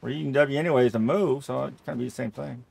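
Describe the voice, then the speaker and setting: A man's voice: medium pitch, quick pace, vocal fry, darkish tone, husky. A man giving casual, rambling and jokey commentary as he plays a video game, often repeating himself. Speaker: gamer narrating a playthrough.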